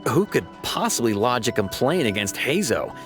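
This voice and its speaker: A man's voice: mid-range voice, sing-song, moderate pace, close to an everyday voice. A man playing a loyal, informal police officer in a video game, earnest in manner, over background music. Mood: earnest, baffled. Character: loyal, chummy male police officer colleague. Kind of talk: scripted video game dialogue. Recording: music behind the voice.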